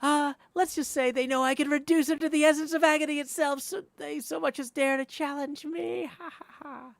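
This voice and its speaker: A man's voice: very high voice, fairly even intonation, fairly quick, creaky, no echo, pitched above the performer's own voice. A man voicing a haughty, menacing female spirit from a video game, boastful and theatrical. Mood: gleeful menace.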